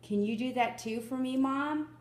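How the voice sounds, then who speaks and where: A woman's voice: sits high, moderate pace, echoey room. A woman hosting a children's read-aloud, chatting casually in an encouraging, exclaiming way. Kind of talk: casual chat addressed to children.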